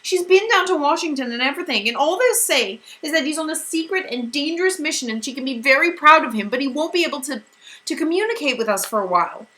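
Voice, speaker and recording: An insecure teenage girl, as a woman reader puts her on, pitched well above the narration, strained and wobbling. Emotional and raw, in a storybook reading. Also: normal speed, a bit bright. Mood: distraught. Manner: high, strained, shaky.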